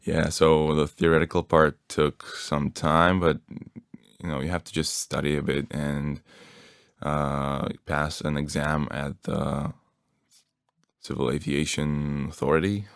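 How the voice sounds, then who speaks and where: Very deep gravelly young man. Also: a little slow, dry recording, clean studio sound. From Bulgaria. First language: Bulgarian. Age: young adult. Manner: relaxed, laughs often, restarts phrases. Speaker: guest. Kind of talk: casual podcast chat.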